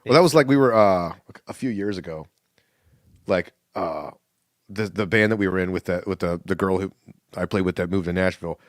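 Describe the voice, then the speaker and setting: A man co-hosting a podcast in casual chat, telling animated stories, acting out quotes and laughing often. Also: low-pitched, fairly quick, no echo, studio quality. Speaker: podcast co-host.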